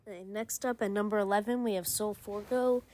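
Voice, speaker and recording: A woman's voice: mid-range voice, fairly even intonation, fairly quick, darkish tone, a little hiss or hum. A young woman hosting a gaming channel, giving casual, rambling game commentary full of fillers and restarts.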